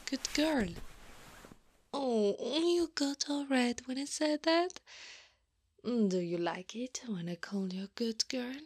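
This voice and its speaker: Woman as a slow, breathy, caring older centaur lady. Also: on the high side, no room sound. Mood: teasing, coaxing. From Italy. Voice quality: breathy, often whispering. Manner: slow, soothing, softly teasing. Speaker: ASMR roleplay performer. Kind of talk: ASMR roleplay.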